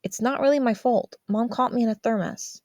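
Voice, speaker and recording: A woman's voice: a little high, a little fast, darkish tone, no room sound, clean studio sound, pitched above the performer's own voice, natural voice. A woman voicing a sheepish, defensive teenage boy in a story, hesitant and full of excuses. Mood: sheepish, apologetic.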